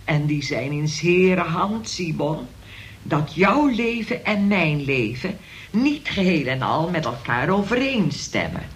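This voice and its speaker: A pious, middle-aged mother in a radio play. Her voice is strikingly husky and swings widely in pitch. She lays on guilt with solemn, melodramatic reproach. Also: sing-song, unhurried, heavily acted. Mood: solemn, martyred. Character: pious, reproachful, martyred mother of a grown son. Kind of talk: Dutch radio play acting.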